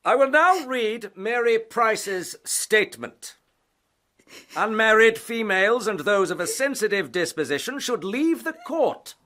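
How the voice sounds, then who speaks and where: A man's voice: sits high, expressive, a little slow, pushed and bright, heavily acted. A man playing a pompous, hectoring prosecuting barrister in a period radio drama. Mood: pompous, formal. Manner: pompous, insistent, declamatory.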